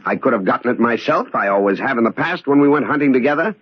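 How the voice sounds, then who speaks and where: An actor playing an uneasy, evasive hunter who speaks haltingly and guardedly, in old radio drama style. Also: male voice, medium pitch, expressive, husky, no echo. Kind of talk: old-time American radio drama acting. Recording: dull old radio recording.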